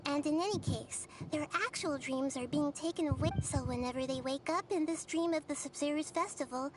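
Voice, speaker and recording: A woman playing a gentle, wise, childlike girl deity in an anime video game, small-voiced, measured and articulate. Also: sits high, lightly acted, poor audio. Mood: patient. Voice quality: small, girlish. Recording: game stream with background noise.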